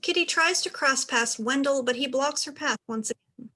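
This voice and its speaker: A woman's voice: high voice, moderate pace, pushed and bright, a little hiss or hum. A woman reading stage directions as scripted narration for a play reading.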